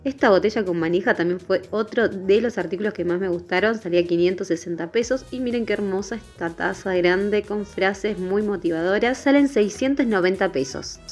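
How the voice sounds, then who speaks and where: A woman's voice: mid-range voice, a little fast, hardly any pauses, darkish tone. A woman narrating a shopping vlog in voice-over. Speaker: vlogger. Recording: dull lo-fi voice-over with music. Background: background music.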